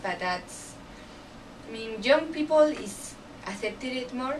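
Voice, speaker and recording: A woman's voice: on the high side, animated, slow pace. A woman from Madrid with a Spanish accent and Spanish as her first language, earnestly explaining her views in loose, broken English. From Spain, Madrid. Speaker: interviewee. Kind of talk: casual interview answers. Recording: distant mic with background noise.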